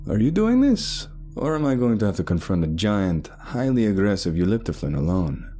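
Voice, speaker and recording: A man's voice: fairly low pitch, sing-song, normal speed, warm tone, soft background music, pitched above the performer's own voice. A man voicing a smug, mysterious, boastful male traveler in a told story. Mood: matter-of-fact.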